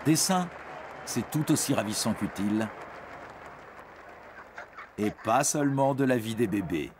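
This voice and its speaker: A man's voice: medium pitch, lively intonation, measured pace, a crowd in the background, noisy background. A man narrating an educational cartoon in a playful storytelling way, speaking directly to a young listener. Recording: music under the voice. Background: background music.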